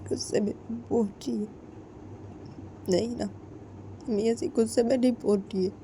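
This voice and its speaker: A woman voicing a tearful young daughter-in-law who speaks in a soft, breathy half-whisper, hurt and stubborn. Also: a little high, fairly even intonation, moderate pace, slight background noise, pitched above the performer's own voice, natural voice.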